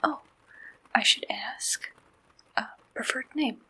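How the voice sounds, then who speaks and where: A woman doing a gentle, polite ASMR roleplay as a friendly, helpful service clerk, speaking in soft whispers. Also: a little high, takes it slowly, breathy edge, low-quality recording. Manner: gentle, polite, attentive customer-service way of talking. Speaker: ASMR performer playing a dating service receptionist.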